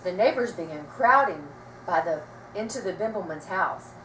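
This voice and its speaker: A woman reading a children's story aloud with expressive, dramatic storytelling delivery. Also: fairly low pitch, normal speed. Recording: roomy, distant mic, faint noise.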